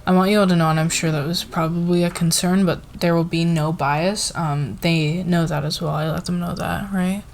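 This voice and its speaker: Female company boss played by a woman, roleplay ASMR: slightly low, halting and casual, flustered, clear background noise. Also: fairly even intonation, a little mellow, natural voice. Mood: earnest, reassuring. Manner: halting, hesitant, giggly, informal. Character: flustered, fair-minded female company boss in secret romance.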